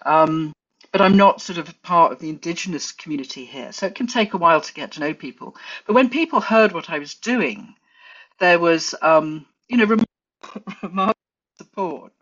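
A woman with an English accent, a guest forager talking in a relaxed interview, thoughtful and full of restarts and fillers. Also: lowish voice, normal speed, muffled recording. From England. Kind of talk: casual interview chat.

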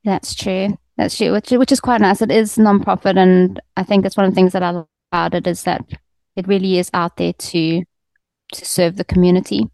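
A woman's voice: lowish voice, little pitch movement, moderate pace, gravelly, a little mellow, no echo. A woman, an online magazine editor, talking casually in an interview, with many ums and restarts.

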